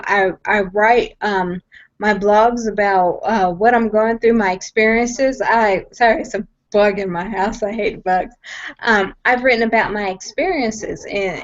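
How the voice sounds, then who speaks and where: Young woman, fairly high voice, earnest and emphatic, repeats and restarts, muffled lo-fi video call audio. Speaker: guest sharing her story. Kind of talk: personal testimony on a video call. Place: at home.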